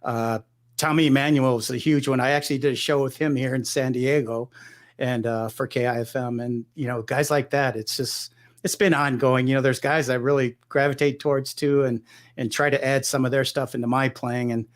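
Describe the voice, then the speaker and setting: A man's voice: mid-range voice, little pitch movement, moderate pace. A middle-aged man from Michigan, a guitarist guest in an interview, talking in a loose, rambling way with many restarts. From Michigan, United States. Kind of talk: relaxed conversational interview.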